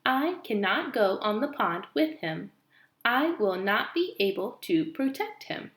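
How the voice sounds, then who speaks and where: A woman voicing a careful, earnest robot mother in a storybook, speaking in a measured, word-by-word robotic way. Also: mid-range voice, sing-song, a bit bright, reverberant.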